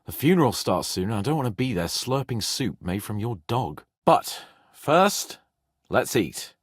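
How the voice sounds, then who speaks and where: A man voicing a spirited young nobleman in a video game, with an expressive, irreverent, bossy but loyal manner. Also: mid-range voice, measured pace, husky, dry recording, clean studio sound. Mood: grave, subdued. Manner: expressive, irreverent, swearing freely. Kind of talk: video game character voice acting.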